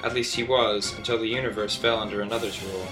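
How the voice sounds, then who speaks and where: A man's voice: on the low side, fairly even intonation, fast talker, low-quality recording. Man reading poetry theatrically over music. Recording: music behind the voice.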